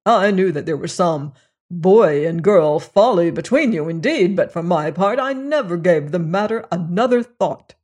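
A reader voicing a pompous, self-righteous older clergyman who lectures in a loud, preachy way. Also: mid-range voice, animated, a little slow. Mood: indignant. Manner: sanctimonious, lecturing, rising to indignant.

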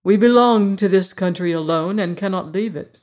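A reader voicing a dignified, courteous monkey king who speaks in a formal, measured way. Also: medium pitch, a little mellow, natural voice.

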